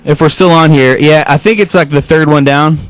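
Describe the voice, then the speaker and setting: High-pitched man reading a story aloud. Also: fairly even intonation, a little fast, faint music behind. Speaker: radio host. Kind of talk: animated storybook read-aloud with off-the-cuff asides.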